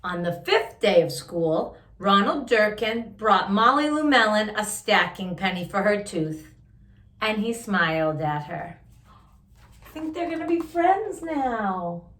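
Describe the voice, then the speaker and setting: A woman reading a picture book aloud to young listeners, with expressive drawn-out emphasis and chatty asides. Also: medium pitch, roomy sound. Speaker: children's story-time host reading a picture book aloud.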